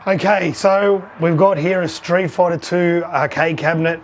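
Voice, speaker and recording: A hoarse man who has lost his voice, giving a chatty, upbeat vlog tour of his arcade collection. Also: sits high, steady tone, normal speed. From Australia. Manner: chatty, upbeat, pointing things out.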